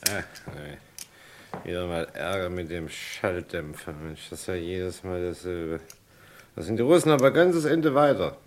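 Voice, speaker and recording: Very deep, grumbling hitman. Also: animated, moderate pace, a little hiss or hum. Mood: annoyed. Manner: muttering, casual, griping. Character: grumbling, matter-of-fact male hired killer. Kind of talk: German crime radio play acting.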